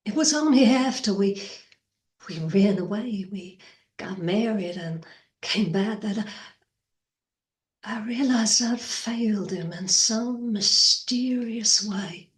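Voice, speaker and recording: Fragile, haunted Southern woman, acted by a woman, theatrical monologue. Slow, halting, whispery delivery, echoing room. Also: mid-range voice, close to an everyday voice. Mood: regretful. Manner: halting, breathy, drifting into whispers. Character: fragile, haunted Southern woman recalling doomed young love. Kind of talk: dramatic stage monologue. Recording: echoey room.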